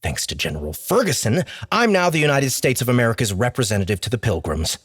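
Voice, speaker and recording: A man's voice: medium pitch, big pitch swings, fast talker, a bit bright, slightly rough, no room sound, studio quality, voice pushed up. A male audiobook reader voicing a young man held prisoner, defiant yet easily flustered. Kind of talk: audiobook character voice.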